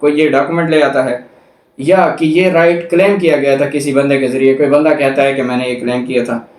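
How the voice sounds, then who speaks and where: A man's voice: medium pitch, steady tone, fairly quick. A man with a Pakistani accent lecturing on law, explaining point by point in a didactic, repetitive way. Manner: didactic, repeats and lists points. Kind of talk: explanatory lecture, reading out statutes and explaining them. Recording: echoey, reverberant room sound.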